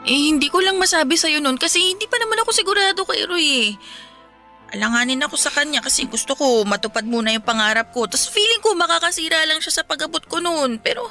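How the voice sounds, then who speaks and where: A woman's voice: high-pitched, lively intonation, fast, pushed and bright, heavily acted. A woman playing a caring young best friend in a radio drama, talking conversationally and scolding fondly. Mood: hesitant, confessing. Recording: faint music behind.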